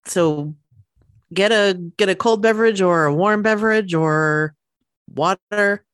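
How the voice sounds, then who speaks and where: A woman in her thirties co-hosting a podcast, telling stories in relaxed casual chat with lots of fillers, a bit sniffly from the cold. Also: medium pitch, measured pace, clean tone, no echo, clean studio sound. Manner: relaxed storytelling with many fillers. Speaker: podcast co-host.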